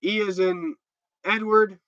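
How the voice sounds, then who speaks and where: A man's voice: high voice, fairly even intonation, slow pace, fairly bright tone, no room sound, muffled recording. A stuffed-up man hosting a casual livestream, chatting loosely and answering viewer comments with many restarts. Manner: rambling and chatty, with repeated restarts. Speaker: livestream host.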